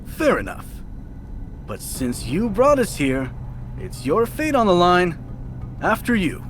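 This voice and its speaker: An actor playing a thoughtful fortune-teller in a historical Chinese game drama, with a measured, deliberate delivery. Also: male voice, high-pitched, huge pitch swings, faint music behind, exaggerated voice. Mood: wry. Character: thoughtful fortune-teller man in a heist crew.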